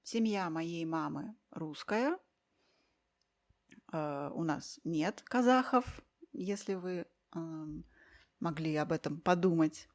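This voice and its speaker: A woman from Russia speaks as a guest in a slow, clear conversation for language learners. Her voice sits a little low, and she takes her time, with very slow pacing and gentle pauses full of soft fillers. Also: expressive. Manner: unhurried, with many thoughtful pauses and soft fillers.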